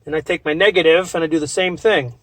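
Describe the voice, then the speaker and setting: Higher-voiced man, casual trucker demo. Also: steady tone, fast talker, a bit bright, no room sound. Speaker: trucker and vlog host. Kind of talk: casual hands-on demonstration, step-by-step talk. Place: inside a truck cab. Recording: noisy truck cab with clicks.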